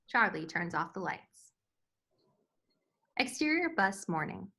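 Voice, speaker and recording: Woman, script narrator, bright crisp-edged tone, mid-pitched, stage directions. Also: sing-song, slow.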